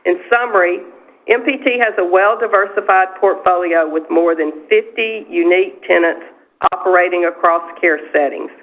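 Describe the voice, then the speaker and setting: A woman's voice: lowish voice, normal speed. Female company executive, scripted earnings-call delivery, narrow telephone sound. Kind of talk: scripted corporate financial presentation. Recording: tinny, narrow phone-line conference call.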